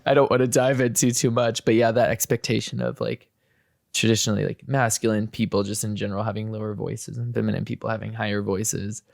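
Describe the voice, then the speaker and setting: A man's voice: fairly low pitch, little pitch movement, a little fast, creaky, darkish tone, dry recording, studio quality. A man hosting a podcast, chatting casually and hedging his points with fillers and restarts. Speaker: podcast host. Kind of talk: casual interview chat.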